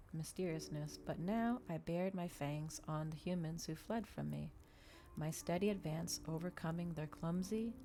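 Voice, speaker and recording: A woman doing an ASMR reading of a novel, soft-spoken and measured, with a dramatic storytelling delivery. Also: low-pitched, a little hiss or hum. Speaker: streamer reading a novel aloud.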